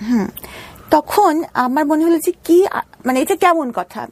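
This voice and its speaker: A Bangladeshi woman telling her personal story as an interview guest, halting and repeating phrases for emphasis. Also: high voice, lively intonation.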